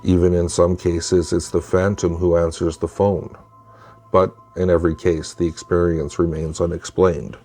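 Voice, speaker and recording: A man with a very low voice narrates creepy tales from a script. His tone is dark and soft-edged, and he delivers each line in a measured, deliberate way, as a storyteller would. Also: little pitch movement. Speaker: narrator of true scary stories.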